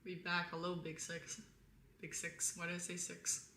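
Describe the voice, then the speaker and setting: A young woman talks casually to her live stream viewers, candid and rambling. Her voice is bright and forward, recorded in an echoey room with a faint layer of noise behind it. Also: completely flat delivery, normal speed. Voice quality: lower-toned voice. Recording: roomy echo, faint background noise.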